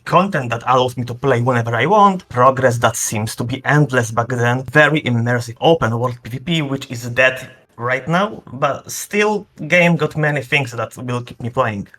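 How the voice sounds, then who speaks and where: A man answers interview questions in a halting, careful way, often pausing on "uh" and restarting his sentences. His voice sits at an ordinary middle pitch for a man. He speaks over a remote call. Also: fairly bright tone. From Poland. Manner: hesitant, with frequent uh and restarts. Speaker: interview guest.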